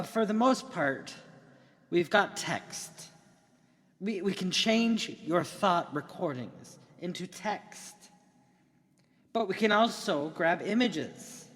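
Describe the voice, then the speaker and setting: A man's voice: fairly high pitch, a bit bright. Man playing a showy tech keynote presenter in reverberant hall. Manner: theatrical, emphatic, with dramatic pauses. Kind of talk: keynote speech in amateur radio drama.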